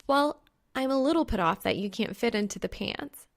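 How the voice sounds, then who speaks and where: A woman performs a brash young female gamer in an audiobook story. She is cheeky and excitable, with a raised, lively voice that bubbles over easily. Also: normal speed. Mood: cheeky, upbeat. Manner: screeching and squeaking outbursts, bubbly.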